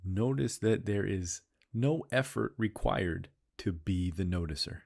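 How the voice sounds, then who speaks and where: A man leading a guided meditation, speaking in slow, soothing phrases and repeating gentle cues. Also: deep voice, gravelly.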